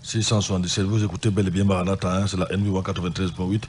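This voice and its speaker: Deep-voiced man, sick pastor hosting radio. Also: flat delivery, very fast, a little mellow, a little hiss or hum. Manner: conversational, reads out phone numbers and listener messages.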